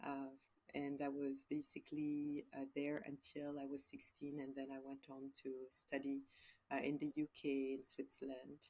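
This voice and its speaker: Low-voiced woman speaking thoughtfully. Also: completely flat delivery, dark tone, breathy edge, poor audio. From West Africa. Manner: thoughtful, pauses often with fillers. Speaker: interview guest, entrepreneur. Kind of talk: casual conversational interview.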